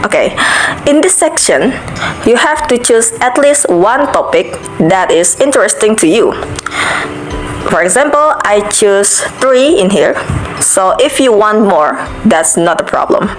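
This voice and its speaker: A young woman walks through an app tutorial in a careful, steady voice. Her pitch sits in the middle range. Light music plays softly behind her. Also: measured pace, poor audio. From Indonesia. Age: young adult. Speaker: student giving an app tutorial. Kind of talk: screen-recorded tutorial voice-over.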